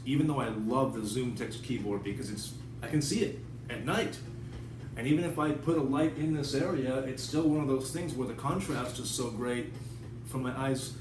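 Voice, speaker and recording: A man talking casually and off the cuff in a blunt, rambling, sometimes profane way, as the host of his own vlog. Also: mid-range voice. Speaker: writer hosting his own video channel. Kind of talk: casual unscripted vlog talk. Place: indoors at home in an apartment. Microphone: tablet camera at a distance. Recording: distant, echoey room with clear background noise.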